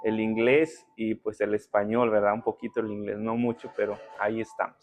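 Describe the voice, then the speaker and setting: A young man from Guatemala whose first language is K'iche', a podcast guest telling his life story humbly and thankfully, with many pauses. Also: fairly low pitch, little pitch movement, a little mellow, faint music behind. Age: young adult. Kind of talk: conversational interview.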